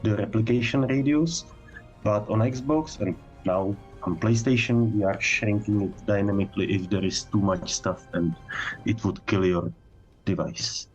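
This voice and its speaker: A man answering technical questions as a game developer on a casual livestream, speaking halting English with non-native phrasing. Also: fairly low pitch, darkish tone, muffled recording, soft background music.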